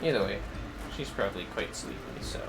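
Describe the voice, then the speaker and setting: Low-key wry male game streamer, noisy recording. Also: big pitch swings, brisk, creaky. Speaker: game livestreamer. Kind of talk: casual gameplay commentary, muttering to himself.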